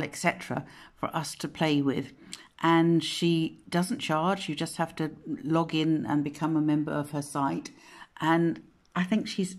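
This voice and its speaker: A woman with a low, somewhat croaky voice walks viewers through a craft software tutorial from home. She chats loosely, trails off and corrects herself as she goes. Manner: chatty, rambling, with frequent self-corrections. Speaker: craft channel host. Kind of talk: casual screen-recorded software tutorial. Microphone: phone camera pointed at a monitor.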